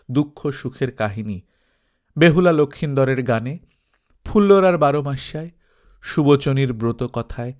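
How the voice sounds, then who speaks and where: A man reads literary fiction in an expressive storytelling manner, at a middle pitch. The delivery is scripted narration, shaped like an audiobook.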